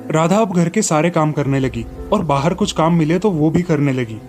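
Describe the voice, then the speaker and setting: A man's voice: a little high, moderate pace, a little mellow, faint music behind. A man storyteller reading scripted narration for a children's moral tale.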